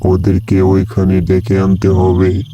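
Man playing a deep, commanding village exorcist. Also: completely flat delivery, slow. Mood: grave, ominous. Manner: commanding, theatrical. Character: stern male village exorcist chanting against spirits. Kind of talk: animated horror story acting. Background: loud music.

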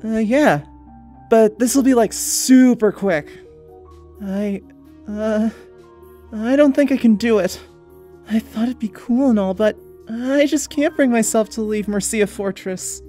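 A woman's voice: fairly high pitch, slow pace, dark tone, soft background music. A woman playing a naive, awkward young tinkerer in a comic dub, casual and hesitant. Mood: nervous. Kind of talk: comic dub narration and dialogue.

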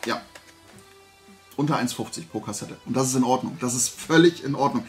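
A man's voice: medium pitch, a little fast, soft background music. A man chats casually to camera as a vlog host, blunt, opinionated and colloquial. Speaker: host of a collecting haul vlog. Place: small indoor room lined with collectibles.

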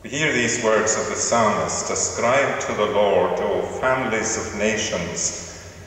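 A man from Scotland, a minister giving a formal welcome and reading scripture in a slow, measured manner in a large stone church. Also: on the low side, fairly bright tone, a little hiss or hum. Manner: slow measured liturgical delivery. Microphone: far camera in church. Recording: echoey church from far off.

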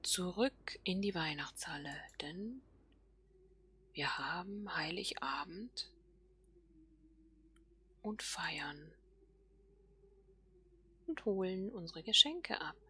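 A woman giving casual game commentary, musing softly and half whispering to herself. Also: on the low side, expressive, slow, long pauses, slightly rough. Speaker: let's player.

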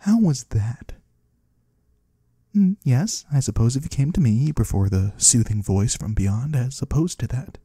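ASMR roleplay casting: man as soothing, mysterious male trader from the void, very dark husky tone, formal and courteous. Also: mid-range voice, no echo, clean studio sound. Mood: musing, courteous. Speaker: ASMR performer. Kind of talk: ASMR roleplay, soft-spoken.

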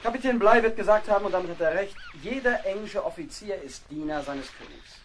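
Man acting loyal young navy officer, heartfelt, higher male pitch, very expressive, audio play, noisy background. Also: brisk. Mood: insistent. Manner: heartfelt, dramatic. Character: sensitive young English naval officer, loyal and emotional.

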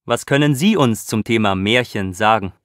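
A man's voice: fairly low pitch, moderate pace, dry recording, clean studio sound, lightly acted. A man playing a polite radio host. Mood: welcoming. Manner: polite, clearly enunciated. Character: friendly male radio host, middle-aged. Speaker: radio show host in a listening exercise. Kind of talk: scripted radio host dialogue.

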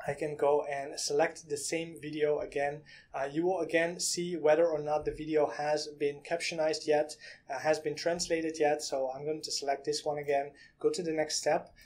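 A man in his thirties talks through a software demo in plain, steady steps, pausing often on "uh" and "um". His voice sits a touch high for a man. He records in a small room on a desk webcam. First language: Dutch. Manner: explanatory, step-by-step, with frequent "uh" and "um". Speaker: product reviewer. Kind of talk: screen-share software walkthrough.

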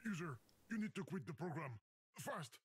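A man's voice: a little high, expressive, moderate pace, noisy background, close to an everyday voice. An actor playing a fussy, indignant male game narrator with a French accent. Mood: pleading. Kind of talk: video game voice acting.

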